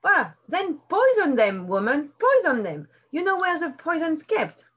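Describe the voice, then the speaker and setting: A woman voicing a bossy, impatient farmer's wife in a storybook, giving orders in a sharp, commanding voice. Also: high-pitched, big pitch swings, a little slow, husky, voice pushed up, exaggerated voice.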